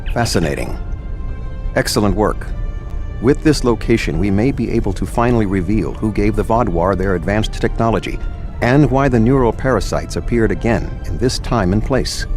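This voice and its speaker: An actor playing a calm, formal male admiral from a logical alien race, briefing an officer in a sci-fi game. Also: lowish voice, natural voice. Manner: formal, measured. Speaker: admiral giving a mission briefing.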